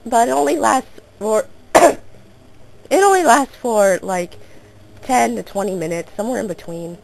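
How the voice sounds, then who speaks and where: A teenage girl with a hoarse, sore throat, rambling through casual gameplay commentary. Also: fairly high pitch, lively intonation, a little slow, a little mellow, poor audio. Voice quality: gravelly. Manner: rambling and self-interrupting, coughing now and then. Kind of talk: casual let's play commentary.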